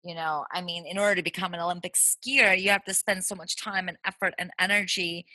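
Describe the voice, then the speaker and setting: Bright, chatty woman with a Brazilian accent. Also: lowish voice, fairly even intonation, fairly quick, dry recording. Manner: verbose and playful. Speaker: podcast co-host. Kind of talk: casual chat. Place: small closet.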